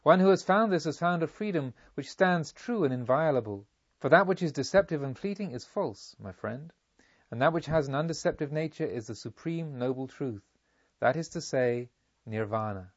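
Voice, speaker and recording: A slightly dull, dry recording of a serene spiritual master as a male narrator reads him. He teaches a disciple in a storybook, solemn and deliberate, with emphatic rhetorical phrasing and a slightly high male voice. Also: animated, dry recording. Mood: serene, assured.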